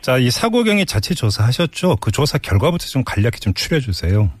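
Male radio interviewer with lively, swooping intonation. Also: medium pitch, a little fast, breathy edge, no echo. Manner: frequent backchannels, pressing follow-up questions. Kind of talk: probing news interview.

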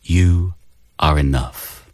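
A man hosting a morning radio show, bantering playfully and reading affirmations slowly and soothingly. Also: low-pitched, a little mellow, no echo, studio quality.

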